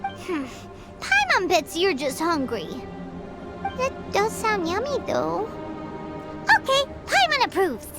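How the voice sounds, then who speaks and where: Childlike fairy sidekick, video game, squeaky, extremely high, bubbly, very animated, cheeky. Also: female voice, heavily acted. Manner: refers to self in third person, bubbly, exclamatory. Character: tiny childlike female fairy companion, cheeky and food-loving. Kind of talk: video game character dialogue. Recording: music behind the voice. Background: game music.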